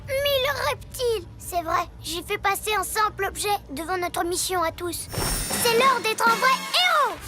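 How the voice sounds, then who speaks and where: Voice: very high voice, animated, moderate pace, over loud music. An actor playing a small, impulsive, good-hearted boy superhero in a French cartoon, speaking earnestly. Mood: determined. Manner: earnest, pleading. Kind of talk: French animated series dubbing.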